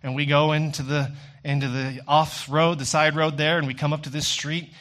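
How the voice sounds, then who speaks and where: A man's voice: fairly high pitch, level tone, moderate pace, fairly bright tone. A man preaching a sermon in a conversational storytelling way, speaking directly to his listeners.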